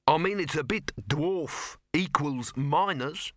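A man's voice: fairly high pitch, slow, fairly bright tone, no room sound, clean studio sound, voice pushed up. A man voicing a pedantic, grumbling dwarf miner who argues and explains at length. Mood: aggrieved.

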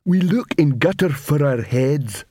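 A man voicing a slow-witted male troll watchman who speaks in broken, halting grammar. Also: a little high, lively intonation, darkish tone, no room sound, studio quality. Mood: gruff.

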